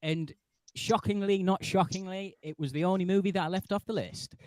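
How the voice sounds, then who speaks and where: A man's voice: sits high, fairly even intonation, fairly quick, dry recording. Man greeting livestream viewers. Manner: reading out chat names, greeting viewers. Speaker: podcast host. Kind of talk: casual livestream chat.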